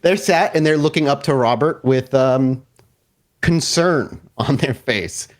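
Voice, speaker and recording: Male game master narrating horror, mid-pitched. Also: a little slow.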